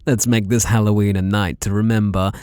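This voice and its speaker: A man's voice: on the low side, little pitch movement, fairly quick, darkish tone, smooth, no room sound, clean studio sound, lightly acted. A British man playing a sadistic, obsessive masked killer in an ASMR roleplay, teasing and menacing with mock tenderness and whispered words. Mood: gloating, possessive.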